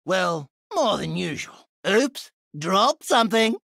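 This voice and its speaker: A man voicing a manic, mischievous Australian bomber in exaggerated, gravelly, high-strung video game voice lines. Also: sing-song, takes it slowly, fairly bright tone, no echo, studio quality, full character voice. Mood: sheepish, muttering. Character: manic, mischievous male Australian bomber who loves explosions.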